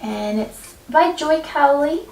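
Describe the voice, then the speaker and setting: A woman reading a children's picture book aloud expressively, with deliberate, dramatic phrasing and pauses. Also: sits high, lively intonation, darkish tone. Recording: roomy, distant mic, faint music behind. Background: faint background music.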